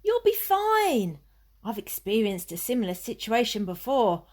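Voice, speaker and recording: Overconfident, earnest female helper woman acting, radio play; very animated, slightly high voice. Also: unhurried. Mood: confident. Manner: earnest, reassuring.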